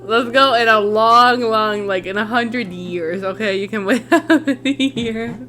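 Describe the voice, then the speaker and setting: Female game streamer: fairly high voice, very leisurely pace, offhand and sweary, faint music behind. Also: slow, bright, forceful tone. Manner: sweary, informal, unfiltered. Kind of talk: casual live-stream chat and reactions while gaming.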